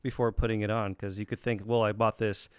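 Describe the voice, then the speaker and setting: Smooth-voiced podcast host, dry close sound. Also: male voice, lowish voice, flat delivery, a little fast, dry recording. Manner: conversational, frequent short affirmations, rambling questions. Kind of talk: podcast interview.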